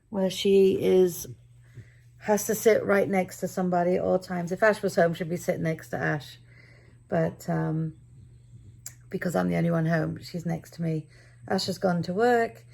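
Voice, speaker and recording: A middle-aged woman with a raspy, tired voice, chatting casually to camera in a rambling, self-deprecating daily vlog. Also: medium pitch, a little mellow. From United Kingdom. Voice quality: raspy, gravelly. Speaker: vlogger.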